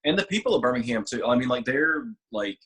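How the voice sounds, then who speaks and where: A man's voice: mid-range voice, lively intonation, fast, fairly bright tone, rough recording. Easygoing man. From Alabama. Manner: easygoing, chimes in, rambling. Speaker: drag queen guest. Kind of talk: casual interview chat.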